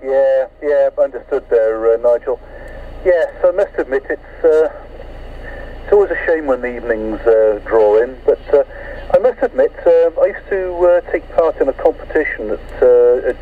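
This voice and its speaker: A man from Britain chatting over CB radio, polite and measured, saying "understood" and "I must admit". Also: medium pitch, a little hiss or hum. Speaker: CB radio net participant. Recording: distant phone-like radio audio.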